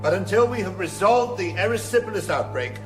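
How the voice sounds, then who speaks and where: An evasive, formal politician in a radio play. His voice is high for a man and rings around an echoey chamber, with music underneath. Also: lively intonation, fairly quick. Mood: formal, defensive. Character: defensive government minister addressing parliament.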